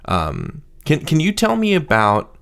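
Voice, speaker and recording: A man's voice: a little high, wildly swooping pitch, a little slow, vocal fry, a bit bright. A man hosting a conversational interview, putting questions and comments to his guests. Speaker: interviewer, host.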